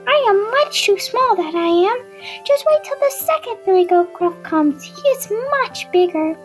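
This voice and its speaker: A woman's voice: animated, normal speed, faint music behind. A young girl playing a small, timid kid goat who pleads in an amateur folktale audio drama. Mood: timid, pleading. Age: child. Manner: squeaky, pleading.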